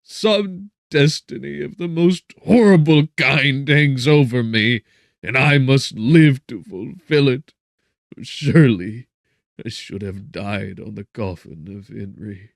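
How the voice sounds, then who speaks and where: Guy doing tormented young prisoner in an audiobook, way higher and louder, melodramatic, dry sound. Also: slow pace, gravelly, dry recording. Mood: despairing. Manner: melodramatic, exclamatory. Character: anguished young man, feverish, despairing prisoner. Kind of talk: dramatic audiobook character acting.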